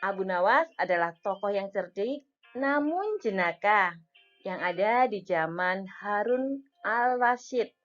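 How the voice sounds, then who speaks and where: A woman teacher explaining a lesson to young schoolchildren, counting off points one by one in a clear, deliberate way. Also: mid-range voice, expressive, fairly bright tone. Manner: slow, clear teacherly explaining with list-like enumeration. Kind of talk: recorded classroom lesson for young children. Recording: dull lo-fi audio with faint music.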